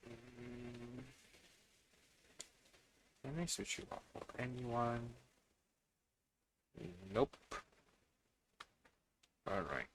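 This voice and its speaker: A man's voice: mid-range voice, very slow, pauses often, background noise. A man doing casual let's play commentary on a livestream, often muttering to himself as he plays. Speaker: game livestreamer.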